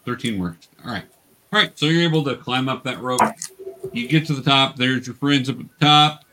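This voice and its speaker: A man's voice: mid-range voice, a little fast, bright, forceful tone, a little hiss or hum. A man acting as game master, narrating a tabletop game and explaining options to the players.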